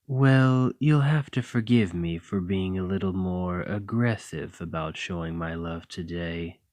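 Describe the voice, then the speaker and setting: A man voicing a doting, teasing male dragon lover in an ASMR roleplay, talking softly and coaxingly. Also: sits low, measured pace, no room sound. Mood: tender. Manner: soft, playful and coaxing.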